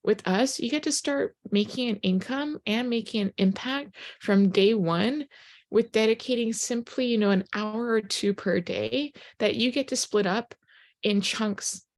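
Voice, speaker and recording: A woman's voice: a little high, a little slow, a little mellow. Upbeat young woman, Japanese native speaker. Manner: upbeat, earnest, frequent "you know" fillers. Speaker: presenter on a business opportunity call. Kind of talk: motivational recruiting talk.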